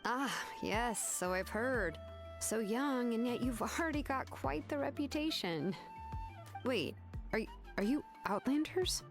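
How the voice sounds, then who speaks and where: A woman's voice: on the high side, lively intonation, measured pace, over music. A woman voicing a young master artisan in an anime game, laid-back but exasperated, speaking in a hesitant, wry way. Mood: taken aback.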